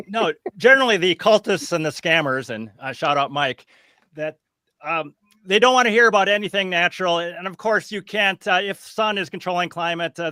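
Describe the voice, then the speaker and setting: Guy on a podcast panel, bright tense voice, dry close sound, keeps hammering his point. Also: on the high side, clear, resonant tone, dry recording. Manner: emphatic, scoffing, repeats key points. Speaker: podcast guest. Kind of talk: casual panel chat.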